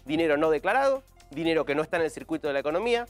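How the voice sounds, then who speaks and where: A man's voice: fairly high pitch, rapid-fire, faint music behind. A man in his thirties with an Argentine accent, explaining figures as a panelist on a studio news show. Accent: Argentine Spanish. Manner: explanatory, lively intonation. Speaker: panelist economics analyst.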